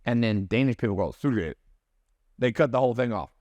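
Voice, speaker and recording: A man's voice: mid-range voice, fairly quick, darkish tone, no room sound, muffled recording. Man, casual storyteller, mimics accents, dry studio sound. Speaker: podcast guest, business mentor. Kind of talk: casual chat.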